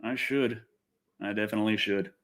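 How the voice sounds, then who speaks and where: A man's voice: lowish voice, fairly even intonation, normal speed, fairly bright tone. A man hosting a casual livestream, chatting to viewers in a loose, rambling way with some swearing. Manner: rambling, loose, expletive-laden. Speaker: livestream host.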